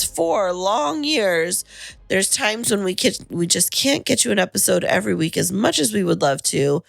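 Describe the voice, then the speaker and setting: A woman co-hosting a podcast in casual chat, her voice husky and a little raspy from a lingering cold, talking in a chatty, rambling way. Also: medium pitch, no room sound. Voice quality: slightly raspy. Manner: chatty, self-deprecating, rambling. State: husky tail-end-of-cold voice. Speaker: podcast co-host. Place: home podcasting studio.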